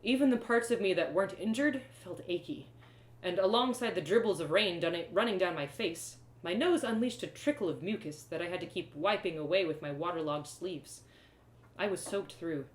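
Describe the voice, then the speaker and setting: Low-voiced woman storyteller in echoey room. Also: normal speed. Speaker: storyteller, author reading live. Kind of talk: expressive first-person fantasy story reading. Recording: roomy echo.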